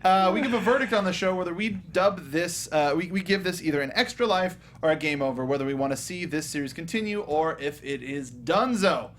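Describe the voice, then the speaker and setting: A man's voice: fairly high pitch, lively intonation, brisk, few pauses, a bit bright. A man hosting and moderating a film review panel in casual, conversational talk.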